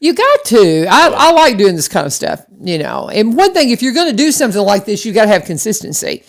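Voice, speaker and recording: A woman's voice: a little high, big pitch swings, brisk. A woman hosting a local radio show in a studio, chatting casually and rambling with lots of restarts and fillers. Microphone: studio microphone.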